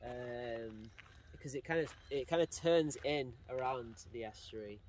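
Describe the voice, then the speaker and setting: A relaxed man chats outdoors, pointing out the view in an easygoing, rambling way full of fillers. Clear wind and outdoor noise sits behind his voice. His pitch is mid-range. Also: measured pace. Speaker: vlogger showing a campsite view. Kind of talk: casual outdoor vlog chat. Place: outdoors by an estuary.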